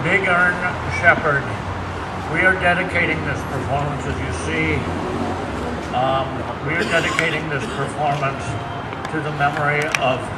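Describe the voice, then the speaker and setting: A man hosting a live event, giving an informal welcome and introduction to an audience. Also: a little high. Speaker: host introducing a performance. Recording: roomy distant recording with loud background noise.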